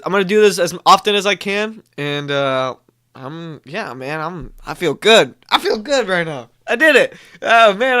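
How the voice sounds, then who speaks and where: A young man, a little congested from a cold, talks to viewers on a live stream. His voice is bright and smooth and sits a bit high, and he rambles, stopping often to correct himself. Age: young adult. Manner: rambling, self-correcting, upbeat. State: stuffy from a cold, coughing. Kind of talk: casual live-stream chat.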